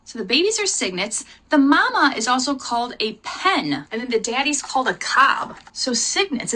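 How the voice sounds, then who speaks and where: A woman's voice: high voice, a bit bright, breathy edge. A woman hosting a live lesson for children, explaining things instructively, spelling out words and speaking directly to her viewers. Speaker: homeschool teacher, lesson host.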